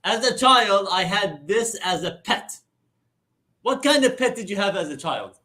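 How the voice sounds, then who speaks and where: A man hosts a casual interview show with a high, bright voice. He is upbeat and effusive, heaping praise on his guest and laughing often. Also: animated, unhurried. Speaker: host.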